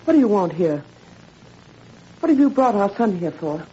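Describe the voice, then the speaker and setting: A woman's voice: mid-range voice, very animated, normal speed, warm tone, lightly acted. An actress playing a distraught wife and mother, imploring and melodramatic in 1940s radio style. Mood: distraught, pleading. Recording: muffled, hissy 1940s radio recording.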